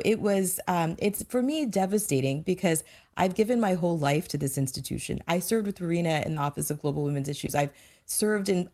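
Woman, low voice, former diplomat, panel guest, earnest, emphatic, impassioned. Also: a little mellow. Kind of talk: impassioned first-person account on a panel.

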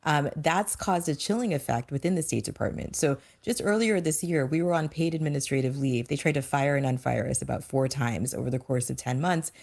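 A woman with a low-pitched voice speaks as a guest on a discussion panel. She is earnest and emphatic, giving an impassioned account from her own working life. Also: creaky, darkish tone. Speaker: former diplomat, panel guest.

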